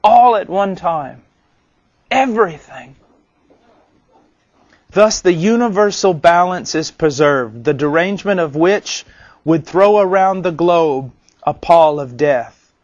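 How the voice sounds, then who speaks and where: A man preaching a sermon in an earnest, deliberate way, with rhetorical questions, on a lo-fi recording. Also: fairly high pitch, animated, dry recording.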